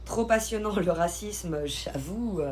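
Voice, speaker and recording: A woman from Switzerland chatting casually on a live stream, reading and answering viewers' comments in an informal, slangy, expressive way. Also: medium pitch, slow. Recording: live stream with clear background noise.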